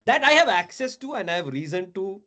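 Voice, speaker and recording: A man's voice: high-pitched, very animated, fairly quick, sharp tone. A man in his thirties, raised in Dubai, talking casually as a mentor, chatty, with many fillers and tag questions. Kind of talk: casual video call chat.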